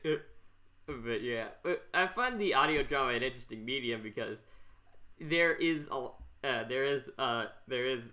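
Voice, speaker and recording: A man hosting a podcast in casual chat, talking haltingly and rambling as he repeats himself. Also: medium pitch, lively intonation, bright, forceful tone. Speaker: podcast host.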